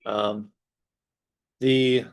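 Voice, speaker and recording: A man's voice: on the low side, little pitch movement, drawn-out words, smooth. A young man in his twenties, a guest security engineer speaking informally to a class in a classroom, rambling with many fillers as his voice starts to give out. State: slightly losing his voice.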